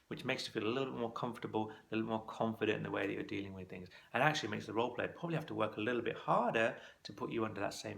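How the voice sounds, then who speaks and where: A man's voice: fairly low pitch, vocal fry, fairly bright tone. A man teaching as a clinical exam course tutor, giving practical coaching tips in a conversational instructional talk to camera.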